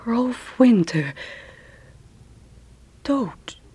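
A woman's voice: a little high, sing-song, extremely slow, warm tone, slightly rough, heavily acted. An actress playing an evasive, coolly dramatic married woman in a radio play, speaking with hesitant deliberation. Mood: stunned.

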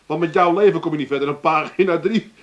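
Man playing high-voiced, sarcastic Amsterdammer, noisy recording. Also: fast talker, muffled recording, natural voice. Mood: mocking. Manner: sarcastic, exasperated. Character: mocking, exasperated Amsterdam brother-in-law. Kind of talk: comic radio play acting.

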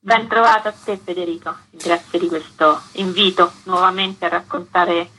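A woman's voice: lowish voice, steady tone, moderate pace, pushed and bright, a little hiss or hum, poor audio. A woman explaining a project in long, flowing sentences as a guest in a radio interview.

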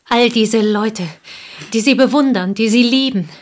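A woman's voice: fairly high pitch, a little slow, slight background noise, natural voice. A woman playing an earnest, loyal confidante in a radio play, formal and respectful yet firm and reproving. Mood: earnest, admiring.

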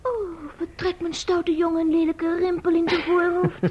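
A woman's voice: very high-pitched, level tone, normal speed. An actress playing a childish, coquettish newlywed wife in an old radio drama, with a girlish, pouting, wheedling delivery. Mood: wheedling, pleading. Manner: pouting, wheedling, stammering when upset. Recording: dull, faintly noisy old radio recording.

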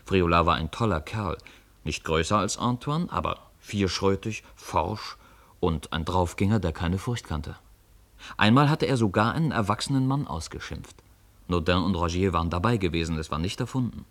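A man with a low voice narrates a radio drama. He tells the story in a measured, literary way, as a scripted reading. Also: lively intonation, a bit bright.

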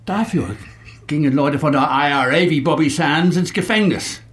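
A man's voice: a little high, normal speed, natural voice. Older male Irish nationalist, played by an actor, proud, scornful of police, fervent radio-drama delivery. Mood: defiant. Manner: defiant, solemn. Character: old Irish republican man, defiant, proud, fervent. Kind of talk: radio crime drama acting.

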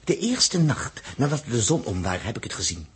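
Brusque secretive space technician, stubborn, defensive, swinging intonation, indistinct vintage radio sound. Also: male voice, medium pitch, very animated, fairly quick, husky. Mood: intent, fascinated. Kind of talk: 1960s radio drama acting. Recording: muffled, indistinct old radio recording.

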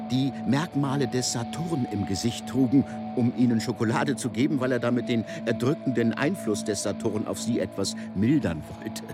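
Conversational learned man, very varied melody, interrupting himself, clear music bed. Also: medium pitch. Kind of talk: informal learned commentary. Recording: music under the voice.

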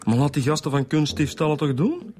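Actor as wry Flemish detective, light music behind. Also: male voice, on the high side, animated, brisk, a little mellow, lightly acted. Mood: wry, casual. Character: laid-back, teasing male police detective. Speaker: actor in a radio crime drama. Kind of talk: naturalistic radio drama dialogue.

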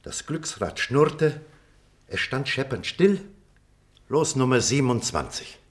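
An actor playing a reflective middle-aged former pupil, telling memories in a measured, expressive radio-play style. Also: male voice, mid-range voice. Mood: reminiscing. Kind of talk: theatrical radio play dialogue and storytelling.

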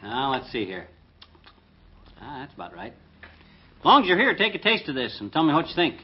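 Boastful, bumbling husband, highish husky voice, folksy bluster in vintage radio comedy. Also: male voice, big pitch swings, a little fast, full character voice. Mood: flustered. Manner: folksy, self-important, blustering. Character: excitable, boastful bumbling husband playing backyard chef. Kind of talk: 1950s radio comedy acting. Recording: dull, lo-fi old radio recording.

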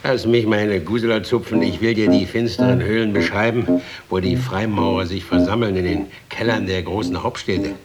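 A man's voice: fairly low pitch, fairly even intonation, normal speed, close to an everyday voice. A man playing a sly, taunting minstrel in a German radio drama, telling tales poetically and with mocking questions. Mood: darkly incantatory. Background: plucked guitar-like string music.

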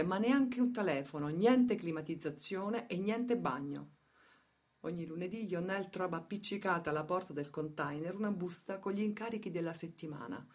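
A woman with a fairly low voice narrates a radio theatre piece. She reads scripted prose as a dramatic storyteller. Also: darkish tone.

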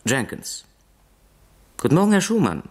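An actor playing a correct, discreet presidential aide in a 1960s radio play, formal and polite. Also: male voice, on the high side, sing-song, moderate pace.

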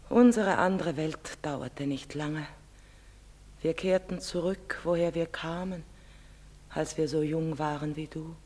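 A solemn, low-voiced sorrowful mother. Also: exaggerated voice. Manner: solemn, measured, emphatic, theatrical. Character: proud, sorrowful middle-aged foreign mother, secretive and intense. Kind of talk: German stage-drama acting. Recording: long reverberant hall sound.

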